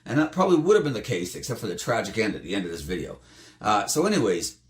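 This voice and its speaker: Animated, slightly hoarse man in echoey room. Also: fast talker. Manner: animated, jumps up in pitch for emphasis. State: slightly hoarse, losing his voice. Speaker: tabletop game player recounting a campaign. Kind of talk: casual storytelling to camera.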